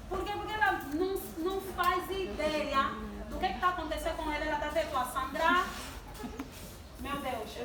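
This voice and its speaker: A woman in her twenties giving a sermon-style talk to a congregation, asking the audience questions and drawing responses. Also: high-pitched. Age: 20s. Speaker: church youth speaker. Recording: distant mic in an echoey hall with background noise.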